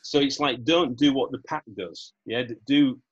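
Brief: husky-voiced British podcast host in his 50s, rambling and casual, speaking over a video call. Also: mid-range voice, normal speed, muffled recording. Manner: rambling, searches for words, asks for agreement with "yeah?". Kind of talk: casual interview chat.

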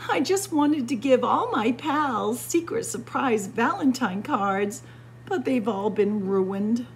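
A woman's voice: on the high side, expressive, takes it slowly, a little hiss or hum. A woman voicing an eager, easily dismayed male turkey in a children's storybook, earnest and exclaiming. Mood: dismayed wailing. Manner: earnest, exclaiming, gobbling when upset. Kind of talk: children's storybook character reading.

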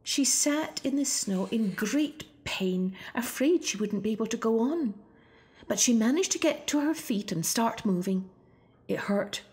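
A woman's voice: fairly high pitch, lively intonation, unhurried, darkish tone. A woman narrating a children's storybook expressively. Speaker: storybook reader.

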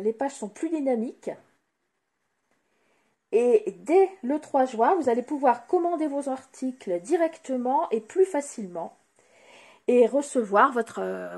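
Husky-voiced woman demonstrating craft products. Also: on the high side, dark tone. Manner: hesitant, many filler pauses, showing items. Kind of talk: casual product presentation.

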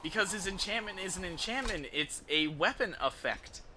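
A man's voice: high-pitched, animated, moderate pace, bright, forceful tone, a little hiss or hum. A man giving casual live commentary on a game he is streaming, crude and offhand. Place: small indoor room at home. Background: game music and sounds.

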